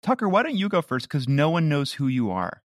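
A man's voice: on the high side, huge pitch swings, fairly quick, no echo. Self-deprecating man, dry close sound. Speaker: podcast creator and editor. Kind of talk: casual interview chat. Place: at home, remote interview.

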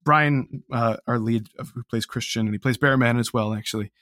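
A man talks reflectively as an interview guest in a mid-pitched voice. He is hesitant and self-deprecating, and the sound is close and dry. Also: fairly quick, fairly bright tone, no room sound. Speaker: interview guest, audio drama creator. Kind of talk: casual reflective interview talk.

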